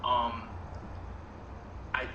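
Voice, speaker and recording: A man from the United States chats casually, full of "like" fillers. His voice echoes around the room over clear background noise. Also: drawn-out words, highs cut off. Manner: chatty, lots of "like" fillers. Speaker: guest commentator. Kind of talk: casual chat.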